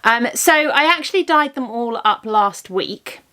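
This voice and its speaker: A woman's voice: fairly high pitch, expressive, a little slow, sharp tone, smooth. A woman in her thirties chatting casually in a vlog, a little congested from a cold, rambling with lots of ums and self-corrections. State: congested, blocked ear from a cold. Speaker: vlogger, yarn dyer.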